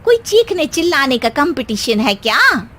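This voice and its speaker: A woman's voice: high-pitched, big pitch swings, normal speed, a bit bright, pitched above the performer's own voice. A woman voicing a blunt, teasing neighbourhood friend who mocks and scolds in a comic family story. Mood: mocking. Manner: mocking, scolding.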